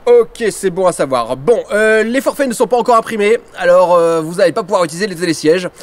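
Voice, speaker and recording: Man playing high-pitched, lively ski instructor. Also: fairly quick. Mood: hesitant. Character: hesitant male ski instructor at a resort. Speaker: voice actor. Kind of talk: amateur French audio comedy acting.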